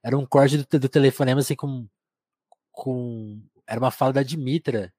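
A man with a rolling countryside São Paulo R, easygoing, who circles back over his words as he tells stories. His voice sits at an ordinary middle pitch, recorded close and completely dry. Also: moderate pace, no echo. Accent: São Paulo countryside (caipira R). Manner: relaxed, anecdotal, stammers with repeated words. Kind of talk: casual conversation.

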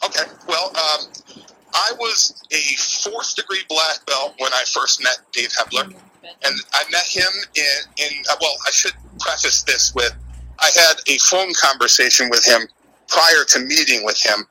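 A man's voice: fairly high pitch, measured pace, sharp tone, poor audio. A middle-aged American man answering interview questions conversationally, rambling and repeating himself for emphasis. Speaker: interview guest, author and martial arts instructor.

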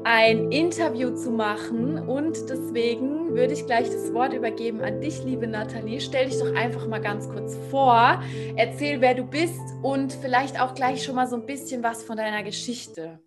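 A woman hosting a podcast, gently inviting her guest to talk about her life. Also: fairly high pitch, normal speed, loud music behind the voice. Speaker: podcast host. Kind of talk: podcast interview host.

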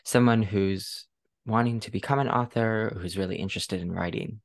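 A woman's voice: extremely low pitch, moderate pace. A woman hosting a podcast, asking questions in a casual interview. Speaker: podcast host.

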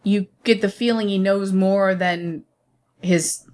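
A woman's voice: medium pitch, fairly even intonation, slow, darkish tone. A woman co-hosting a casual film review chat in a frank, matter-of-fact way.

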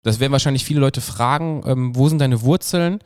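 A man's voice: mid-range voice, fairly quick, a little mellow, clean tone, dry recording. Young man, German, podcast host, enthusiastic, slangy, filler-heavy, dry room. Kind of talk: casual interview chat.

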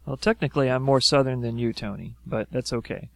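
A man's voice: medium pitch, a little fast, gravelly, a bit bright, no room sound. A man hosting a podcast, chatting casually and rambling with many fillers. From South Louisiana. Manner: rambling, filler-heavy storytelling. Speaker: podcast host. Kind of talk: casual chat.

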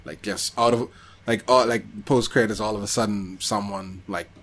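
A man co-hosting a podcast in casual chat about films, talking in a jokey, slangy, animated way. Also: on the low side, normal speed. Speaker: podcast co-host.

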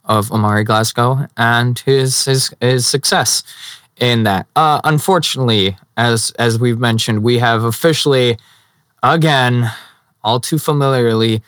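A man's voice: mid-range voice, a bit bright, clear, resonant tone, dry recording, clean studio sound. A young man with a Chicago accent hosting a casual sports podcast, laughing easily and restarting his sentences. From Chicago area. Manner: laughs often, halting restarts. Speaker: podcast host. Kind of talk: casual chat.

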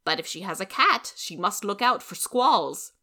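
A woman voicing a sour, sharp-tongued woman of sixty in a storybook, scolding and sarcastic. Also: mid-range voice, expressive, moderate pace, pitched above the performer's own voice. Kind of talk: storybook character reading.

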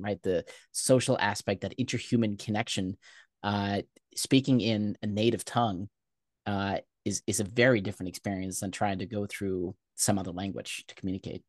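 Low-voiced German-born man hosting. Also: no echo, clean studio sound. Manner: thoughtful, hesitant with fillers. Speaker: podcast host. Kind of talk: conversational interview.

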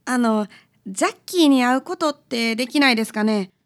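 A woman's voice: a little high, lively intonation, brisk, natural voice. A woman playing a polite, tender-hearted detective's client with a Kansai accent in a radio drama. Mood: gentle, wistful. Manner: polite, hesitant.

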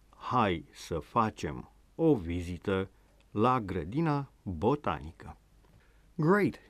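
A man with a Romanian accent hosting a radio language lesson, reading words slowly and deliberately. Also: fairly low pitch, no echo. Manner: slow, deliberate word-by-word teaching. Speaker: language show host.

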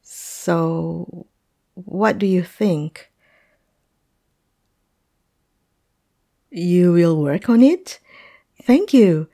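A woman acting a hesitant, polite, heartbroken client in a fantasy roleplay audio drama, speaking haltingly. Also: fairly low pitch, warm tone, exaggerated voice. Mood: polite, hopeful.

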